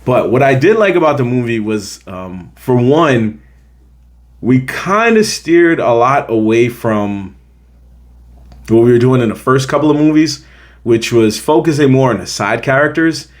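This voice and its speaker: A man's voice: medium pitch, animated, measured pace. Emphatic American man reviewing movies. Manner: conversational, emphatic, repeats points for emphasis. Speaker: film review show host. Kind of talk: casual opinionated movie review to camera.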